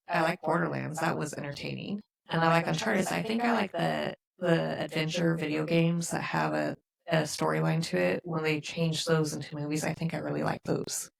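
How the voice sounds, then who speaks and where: A middle-aged woman with a gravelly, creaky voice, chatting casually as a podcast host. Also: sits low, rough recording. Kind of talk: casual chat.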